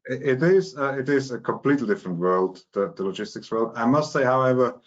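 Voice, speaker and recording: A middle-aged man with a Swiss accent, a non-native English speaker, talking carefully and haltingly as a finance executive guest on a business webinar. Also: mid-range voice, expressive, muffled recording. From Switzerland. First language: not English. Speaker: chief financial officer, guest.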